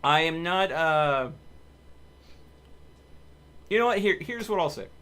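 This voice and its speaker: A man's voice: fairly high pitch, lively intonation, slow, a bit bright, clean tone. A man hosting a live game stream, chatting casually and commenting on his play, with game music behind him. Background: video game music.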